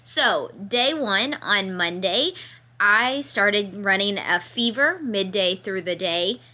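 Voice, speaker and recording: Bright-voiced sick woman, stuffy. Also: mid-range voice, expressive, slow pace. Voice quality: occasional cough, slightly stuffy nose. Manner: chatty, laughs through her complaints. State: sick with fever, congested. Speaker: vlogger. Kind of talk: casual chat to camera. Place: in bed at home, bundled in a sweatshirt.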